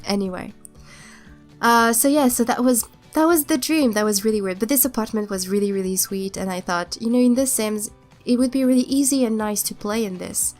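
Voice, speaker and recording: A woman's voice: fairly high pitch, a little fast, a little mellow, faint music behind. A young woman with a cold and a stuffed-up voice, not a native English speaker, casually chatting in a rambling, hesitant voiceover. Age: young adult. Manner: rambling, hesitant, many restarts. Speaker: YouTuber narrating a speed build.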